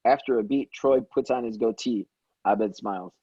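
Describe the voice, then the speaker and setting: A man's voice: fairly low pitch, moderate pace, mellow, breathy edge, dry recording, dull audio. A man reading scripted stage directions as narrator of a table read.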